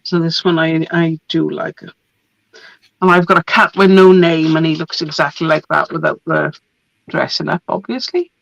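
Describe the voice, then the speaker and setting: Hoarse chatty woman, dry lo-fi sound. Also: sits low, moderate pace, no echo. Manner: chatty, relaxed, laughs often. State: hoarse from a cold. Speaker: craft product presenter. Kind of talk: casual product show-and-tell.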